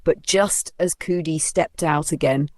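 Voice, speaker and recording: A woman's voice: sits low, a little slow, a little mellow, no room sound. A woman telling a comic folktale as dramatic scripted narration. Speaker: storyteller.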